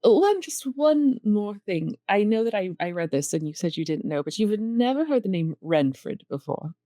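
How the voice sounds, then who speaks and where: A woman playing a tabletop game, playful and quick with jokes. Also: medium pitch, very animated, dark tone, dry recording, clean studio sound. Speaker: player. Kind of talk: casual tabletop play.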